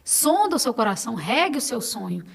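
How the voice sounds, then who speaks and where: Brazilian woman on a podcast, kinda high voice, earnest and emphatic, like giving heartfelt advice. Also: very animated, brisk, gravelly, husky. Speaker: podcast guest. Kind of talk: conversational interview, personal testimony.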